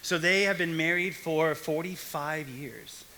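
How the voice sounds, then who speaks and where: A man's voice: a little high, unhurried, bright, forceful tone, slight background noise, poor audio. A young man from Texas giving a casual, joking sermon to a young adult church group. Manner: chatty, jokey asides. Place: church hall stage.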